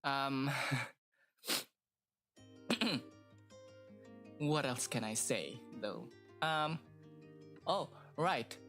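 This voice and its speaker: A woman's voice: a bass voice, animated, drawn-out words, long pauses, fairly bright tone, faint music behind. A woman streamer with a stuffy nose chatting casually and rambling, with lots of fillers and hesitations. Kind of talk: casual live-stream chat.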